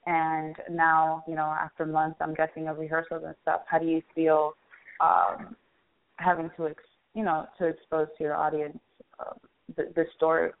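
A woman with a fairly low voice hosts a relaxed, conversational interview. She asks her questions informally. The audio is narrow and tinny, like a telephone line. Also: normal speed, creaky. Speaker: interviewer, show host.

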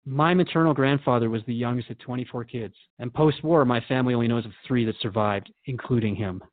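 A man's voice: medium pitch, fairly quick, dry recording, natural voice. A man playing an earnest, respectful radio host in a drama, questioning in a conversational, hesitant and probing way. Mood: troubled, sincere. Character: earnest, questioning male radio interviewer, respectful but troubled. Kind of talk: radio drama interview acting. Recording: dull lo-fi, dry audio.

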